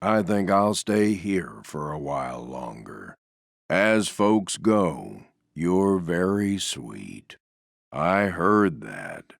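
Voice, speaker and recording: A gloomy, gentle stuffed donkey for a video game. The voice sits very low and creaks with gravel, trudging along slowly in a mournful, resigned drone that still sags and swings in pitch. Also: male voice, no echo, studio quality, full character voice. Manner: mournful, slow, self-effacing, resigned. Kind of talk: video game character voice lines.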